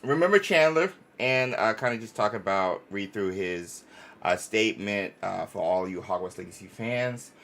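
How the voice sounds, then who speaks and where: A man hosting a livestream, chatting casually and reading sponsor spots, often halting and repeating himself. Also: on the low side, lively intonation, a bit bright. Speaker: livestream host.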